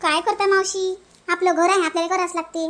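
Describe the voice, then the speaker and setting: A woman's voice: very high-pitched, flat delivery, fairly quick, a bit bright. A woman voicing a put-upon young village daughter-in-law in a cartoon, with a Varhadi Marathi accent and plaintive, grumbling delivery. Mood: resigned. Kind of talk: animated family comedy dialogue.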